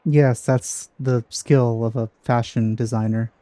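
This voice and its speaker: A man's voice: mid-range voice, steady tone, a little slow, warm tone. A man giving casual let's play commentary in offhand, dry asides. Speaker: gaming YouTuber.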